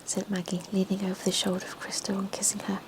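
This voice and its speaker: A woman reading a story aloud in a soft, unvoiced whisper, in a hushed and steady storytelling manner. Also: medium pitch, fast, background noise. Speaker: narrator.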